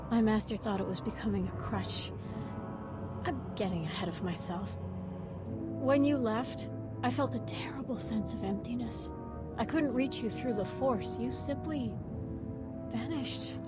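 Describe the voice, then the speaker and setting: An actress playing a devoted, reflective former Sith apprentice in a video game, speaking earnestly in measured, formal phrases. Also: female voice, medium pitch, lightly acted.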